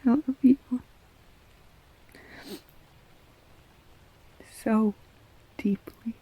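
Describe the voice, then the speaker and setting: A woman playing a tender, flustered girlfriend in an intimate roleplay audio, speaking softly and haltingly, often slipping toward a whisper. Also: fairly high pitch, slightly rough, exaggerated voice, low-quality recording. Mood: earnest, tender. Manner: halting, stammering, soft-spoken, breaking into whispers. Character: tender, flustered girlfriend blurting out a marriage proposal.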